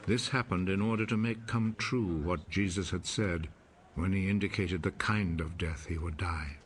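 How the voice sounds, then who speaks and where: A man's voice: sits low, steady tone, normal speed, natural voice. A man giving scripted scripture narration for a film, in a solemn storytelling manner. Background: loud film score.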